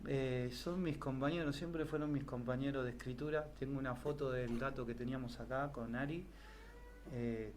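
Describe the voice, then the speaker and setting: A man hosting an interview, chatting in a relaxed way and searching for words. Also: medium pitch, slight background noise. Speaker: host. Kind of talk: casual chat.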